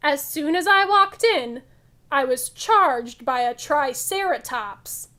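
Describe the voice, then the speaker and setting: An excitable young storybook adventurer voiced by a woman reading aloud speaks in a high voice. The delivery is animated and emphatic, yet takes its time over the phrases. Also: takes it slowly, full character voice. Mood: excited.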